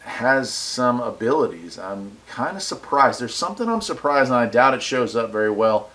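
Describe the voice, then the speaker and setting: A man's voice: medium pitch, animated, normal speed, a bit bright. An American man giving a casual, enthusiastic hobby review with a folksy way of talking, picked up on a wireless mic. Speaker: radio hobbyist and video reviewer. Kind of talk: casual product review and radio chat. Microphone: wireless mic set between him and the radio.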